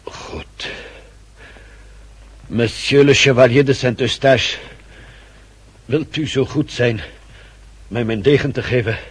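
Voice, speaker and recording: An actor playing a haughty French count in a period radio drama, speaking with deliberate, ceremonious politeness in a husky voice. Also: male voice, medium pitch, fairly even intonation, no room sound. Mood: icy courtesy. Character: haughty French count surrendering his sword after a duel.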